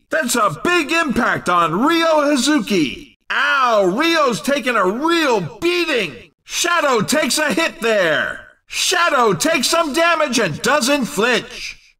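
Very high-pitched excited male race commentator. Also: distant mic. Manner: exclamatory, punchy short calls. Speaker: video game race commentator.

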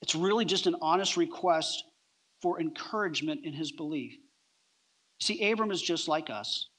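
A middle-aged man preaching a sermon on a church stage, emphatic, with deliberate pauses between short phrases. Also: on the high side, fairly bright tone. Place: large church auditorium, on stage.

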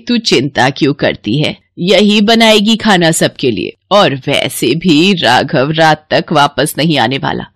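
A woman voicing a scheming, bossy older mother-in-law in an animated moral story, with a commanding, scornful manner. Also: deep voice, no echo, clean studio sound, heavily acted. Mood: bossy, threatening.

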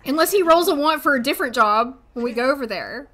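A woman in her thirties, hoarse today, chatting casually and thinking aloud as she streams a life-sim game from a desk at home. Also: high voice, animated, fast, a bit bright. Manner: chatty, thinking aloud, frequent little laughs. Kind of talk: casual gameplay commentary. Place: home room, seated at desk.

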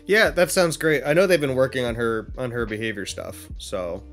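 A man's voice: mid-range voice, sing-song, normal speed, a bit bright, soft background music. Hesitant, agreeable parent played by a man. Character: easygoing, somewhat unsure parent on phone. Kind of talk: improvised role-play dialogue.

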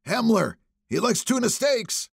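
An agitated old drunk in an audiobook thriller, as a male narrator acts him out, shouting in a high-pitched, rough voice. He rants belligerently and repeats himself, with big swings in pitch. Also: very animated, a little slow, dry recording, studio quality, full character voice. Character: tall bearded ranting drunk old man, belligerent.

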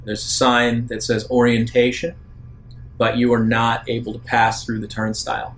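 A man working as a game master, improvising narration for a tabletop game in a halting, deliberate way. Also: monotone. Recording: rumbly background noise.

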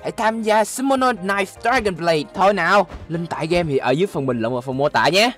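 A man's voice: high-pitched, expressive, fairly quick, a bit bright. A young man reading an upbeat scripted game promotion as a YouTuber, with music behind. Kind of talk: upbeat scripted sponsor read. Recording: background music under the voice.

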